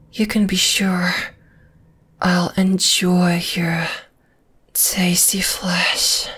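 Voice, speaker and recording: A woman voicing a possessive, gently dominating spider monster girl in an ASMR roleplay, in a slow, deliberate, breathy whisper. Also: mid-range voice, full character voice. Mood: softly menacing. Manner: slow, deliberate, soothing yet menacing.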